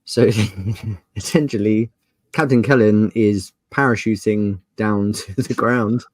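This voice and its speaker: A man with a lowish voice narrates a tabletop fantasy game as its game master. The sound is dry, with little room to it, and the delivery is improvised. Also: fairly even intonation, slow, dry recording. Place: dry room on an online video call.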